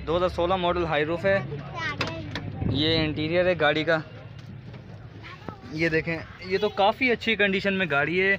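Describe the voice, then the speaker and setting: A man's voice: sits high, fairly even intonation, moderate pace, pauses often, muffled recording, noisy background. A man with a Karachi Urdu accent, filming a walkaround of cars at an outdoor car bazaar on a handheld phone, repeating prices and details back as he asks sellers questions. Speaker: vlogger touring a car market. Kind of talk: casual walkaround car review.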